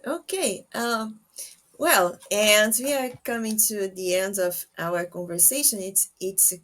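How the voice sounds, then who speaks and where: A woman's voice: medium pitch, takes it slowly, fairly bright tone. A woman hosting a podcast interview, putting conversational questions to her guest.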